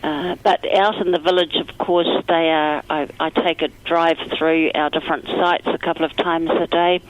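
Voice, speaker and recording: A woman with a croaky voice, talking upbeat and at length as a chief executive in a radio phone interview. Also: sits low, steady tone, moderate pace, muffled recording. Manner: upbeat, talkative, positive. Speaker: retirement trust chief executive.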